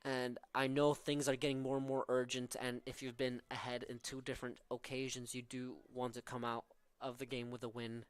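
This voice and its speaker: A man's voice: medium pitch, little pitch movement, moderate pace, hardly any pauses, clean tone, dry recording. A young man from southern Norway with a Norwegian accent, calling live college soccer with tactical analysis and frequent fillers. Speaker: play-by-play commentator.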